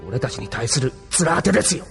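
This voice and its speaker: A man's voice: mid-range voice, sing-song, quick pace, creaky, over music. An actor playing a level-headed, frank male mechanic crewman in an anime audio drama, talking casually. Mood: earnest.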